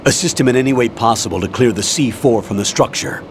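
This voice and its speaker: A firm military colonel played by a man issues orders in an authoritative, commanding tone. His voice sits at a middle pitch, with faint background noise. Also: quick pace, natural voice. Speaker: commanding officer. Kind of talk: radio call in a game cutscene.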